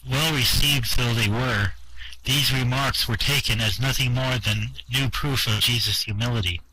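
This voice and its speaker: A man narrating a first-person story in an amateur radio drama with steady prose reading. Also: mid-range voice, normal speed, slight background noise, low-quality recording.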